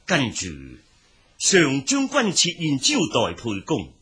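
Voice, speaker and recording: A ruthless old strategist in a Chinese historical radio drama. His voice is husky and forceful, and he plots in slow, emphatic, calculating phrases. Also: a little high, wildly swooping pitch, heavily acted. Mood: scheming. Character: scheming, ruthless old male military adviser.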